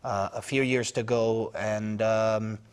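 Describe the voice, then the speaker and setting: A man speaking as a foreign news correspondent on a news panel, analytical and halting, with many fillers and restarts. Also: fairly low pitch, level tone, clean tone. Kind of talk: unscripted news panel discussion.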